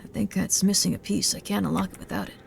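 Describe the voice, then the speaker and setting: An actor playing a lost man trapped in a strange place, who mutters to himself in puzzlement with a gravelly voice. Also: high-pitched, fairly even intonation, fast talker, slight background noise. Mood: puzzled. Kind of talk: game dialogue.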